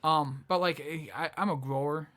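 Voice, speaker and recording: A man's voice: fairly high pitch, steady tone, moderate pace, a bit bright. A man, a comedian guest, telling stories in casual podcast chat, often restarting and repeating his phrases.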